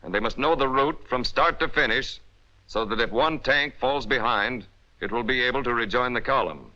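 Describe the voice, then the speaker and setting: A man with an American accent delivers scripted narration for a 1940s military training film. His voice is very bright and forceful, with a medium pitch. He instructs emphatically in clipped directives, heard through a dull, band-limited old film soundtrack. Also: normal speed.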